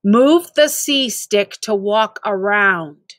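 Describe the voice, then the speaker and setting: A woman's voice: mid-range voice, no echo, clean studio sound, close to an everyday voice. A woman voicing a stern, single-minded male drill sergeant from a storybook, giving emphatic, repetitive commands. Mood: commanding. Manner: clipped, emphatic, deliberate commands.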